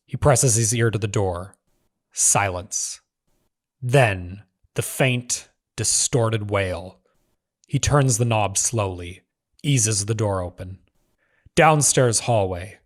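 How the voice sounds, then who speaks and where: A man narrating screenplay stage directions in measured, clipped phrases. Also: lowish voice.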